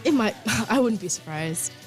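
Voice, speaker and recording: A hoarse young woman hosting a college radio show, chatting casually with lots of slang and giggles. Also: mid-range voice, animated, normal speed, faint music behind. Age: young adult. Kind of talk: casual chat.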